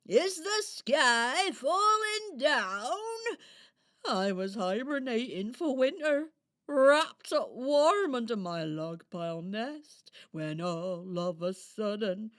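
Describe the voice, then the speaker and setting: A woman voicing a sleepy, kindly hedgehog in a children's radio play, with dramatic, drawn-out exclamations. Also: fairly high pitch, expressive, a bit bright, voice pushed down. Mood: groggy, aggrieved.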